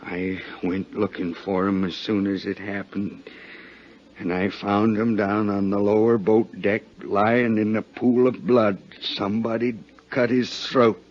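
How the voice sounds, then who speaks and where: An American actor playing a nervous working-class sailor with rough colloquial speech, in 1950s radio drama style. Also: male voice, lowish voice, little pitch movement, a little slow, natural voice. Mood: grim, subdued. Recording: dull, noisy 1950s radio recording.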